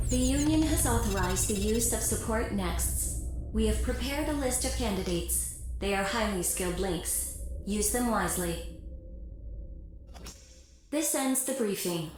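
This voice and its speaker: Woman playing female game briefing officer, cool, official, composed, very echoey, clear music bed. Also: medium pitch, close to an everyday voice. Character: formal, cool female corporate liaison issuing military orders. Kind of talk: scripted military mission briefing, video game voice acting.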